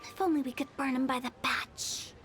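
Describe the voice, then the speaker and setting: A woman's voice: high voice, steady tone, normal speed, music in the background, natural voice. A woman playing a quirky, eager young female funeral parlor director in a video game, with a playful, salesy confidence. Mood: chatty. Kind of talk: video game character acting.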